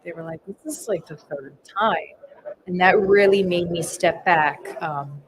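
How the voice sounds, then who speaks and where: A woman's voice: lowish voice, measured pace. Thirties woman, firefighter co-host, candid storyteller, recorded live over a noisy floor. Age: 30s. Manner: candid, reflective, personal storytelling. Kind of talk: casual podcast chat.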